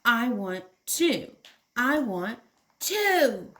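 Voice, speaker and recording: A woman's voice: on the high side, sing-song, very slow, a bit bright, pitched above the performer's own voice, exaggerated voice. A woman voicing a whiny young kangaroo girl from a children's storybook, stretching her words with emphasis. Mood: demanding, whiny. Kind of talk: children's storybook read-aloud.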